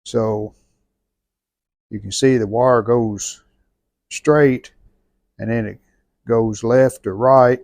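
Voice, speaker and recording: A man's voice: medium pitch, fairly even intonation, drawn-out words, pauses often, a little mellow, dry recording. An American man narrating hands-on repair work in a folksy, plainspoken way, thinking aloud as he goes. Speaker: host of a radio restoration channel.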